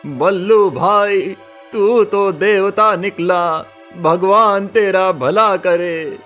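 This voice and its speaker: A man's voice: high voice, drawn-out words, a bit bright, soft background music, full character voice. A man voicing an admiring, cheerful villager in a cartoon moral story, full of enthusiastic praise. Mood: grateful. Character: admiring, cheerful village gossip, ordinary townsman.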